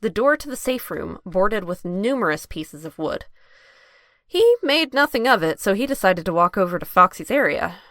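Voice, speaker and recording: A woman serves as narrator of an audio drama, reading scripted prose. Her voice sits a little low, recorded dry and close. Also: lively intonation, normal speed, hardly any pauses, a bit bright, no room sound.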